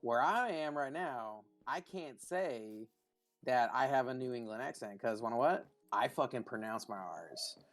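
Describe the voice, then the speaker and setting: A man with a Californian accent rambles in loose gamer chat, stopping and restarting, dropping "dude" and "like" everywhere. His pitch sits mid-range for a man, and faint music plays under the voice chat. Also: expressive, a little slow, fairly bright tone. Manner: rambling, hesitant, lots of "dude" and "like". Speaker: gaming friend on voice chat.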